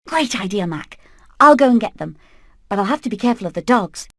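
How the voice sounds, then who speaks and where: A woman's voice: medium pitch, animated, fairly quick, fairly bright tone, no room sound, studio quality. A woman voicing a determined hen leader in a video game, earnest and purposeful. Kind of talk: animated video game acting.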